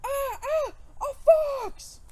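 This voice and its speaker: A woman's voice: extremely high pitch, slow, voice pushed up. A woman voicing a small young storybook pixie girl, timid but determined to be brave, in a childlike, exclamatory manner. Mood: frightened.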